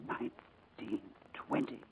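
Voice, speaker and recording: An actor playing a dazed, courteous upper-class army captain with a clipped, halting English manner in 1940s radio drama style. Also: male voice, natural voice. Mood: dazed, polite. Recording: old 1940s radio recording, music behind.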